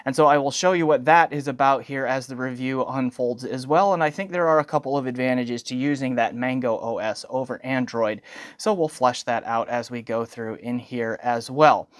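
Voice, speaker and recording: A man's voice: medium pitch, moderate pace, no room sound, studio quality. A man giving an informal product review as a channel host, conversational and wordy with technical asides.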